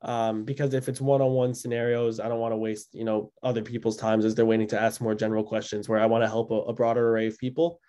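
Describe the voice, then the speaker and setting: Young guy on a zoom call, mellow voice, lots of ums, chatty explaining. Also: on the low side, level tone, fast, few pauses. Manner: loose and conversational, frequent ums and restarts. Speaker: host of an educational webinar. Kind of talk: presenting and answering questions on a video call. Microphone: video call microphone.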